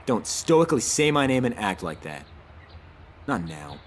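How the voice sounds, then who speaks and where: An actor playing a betrayed, confrontational soldier in a war game, emotional and accusing. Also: male voice, fairly low pitch, sing-song, a little slow, slight background noise. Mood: hurt, angry. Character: bitter, betrayed male soldier, tense and confrontational. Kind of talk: dramatic game cutscene acting.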